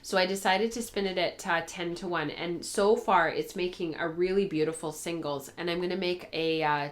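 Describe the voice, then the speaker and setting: Low-pitched woman chatting, slightly worn by a cold. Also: fairly even intonation, normal speed. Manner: informal, rambling, thinking aloud. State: getting over a cold, voice tiring. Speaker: host of a spinning and fibre craft show. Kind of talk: casual chat to viewers.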